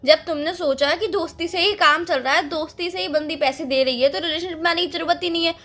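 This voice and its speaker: Girl acting upset young woman telling a guy off in a call drama, high sharp voice, keeps pushing questions. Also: quick pace. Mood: scornful, accusing. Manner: scolding, pressing with pointed questions. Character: hurt, accusing young woman confronting a male friend.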